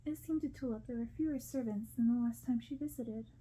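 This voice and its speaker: A woman narrating a storybook in ASMR style, gentle, hushed and unhurried. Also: a little high, slight background noise, poor audio. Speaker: ASMR creator reading a novel.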